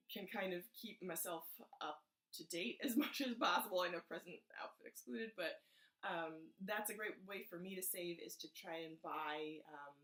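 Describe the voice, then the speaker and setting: A woman's voice: lowish voice, a bit bright, roomy sound. A young woman vlogging casually about saving money, chatty with lots of fillers, her voice scratchy from the early morning. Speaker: personal finance vlogger. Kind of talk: casual vlog chat.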